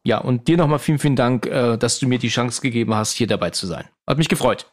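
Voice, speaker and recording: Hesitant man in his forties. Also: medium pitch. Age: 40s. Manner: hesitant, many "ähm" pauses, easy laughs. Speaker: podcast guest. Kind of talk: casual podcast conversation.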